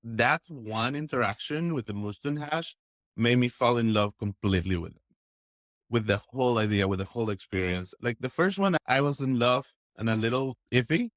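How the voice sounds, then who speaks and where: A man from Texas, a podcast guest, telling stories in a casual, enthusiastic and expressive way. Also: mid-range voice, moderate pace, dry recording, studio quality. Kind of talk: casual interview storytelling.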